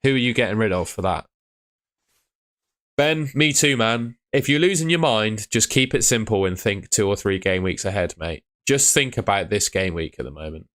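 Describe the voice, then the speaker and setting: A man's voice: fairly low pitch, animated, moderate pace, a bit bright. A young man who is losing his voice, streaming from his room at home and answering viewer questions in a blunt, chatty way. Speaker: fantasy football streamer.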